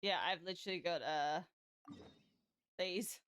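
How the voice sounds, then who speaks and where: A woman's voice: on the low side, unhurried, a bit bright, smooth. A woman chatting casually and off the cuff while streaming a game. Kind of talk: casual unscripted gaming stream chat. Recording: game music behind the voice.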